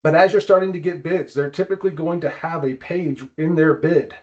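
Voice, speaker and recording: A man's voice: a little high, fairly even intonation, brisk, a little mellow. A man giving an informal explanatory presentation in a conversational way, on slightly dull lo-fi audio.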